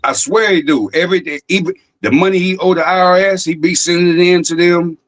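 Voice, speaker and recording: A man hosting a casual online chat, speaking in a rambling, halting way with many fillers. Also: high voice, steady tone. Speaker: host. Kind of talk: casual chat on a live stream.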